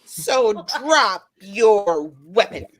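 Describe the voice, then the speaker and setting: A woman's voice: medium pitch, animated, very slow. A woman acting a proud, scornful young warrior in a comedic script read. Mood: outraged.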